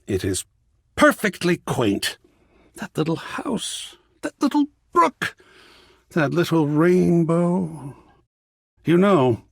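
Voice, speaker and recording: Refined, enthusiastic male gallery curator for a game: highish, breathy voice, dry close recording, genteel and dramatic. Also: no room sound, heavily acted. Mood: charmed, enthusiastic. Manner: genteel, measured, flowery, dramatically expressive. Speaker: video game character actor. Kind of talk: theatrical video game dialogue.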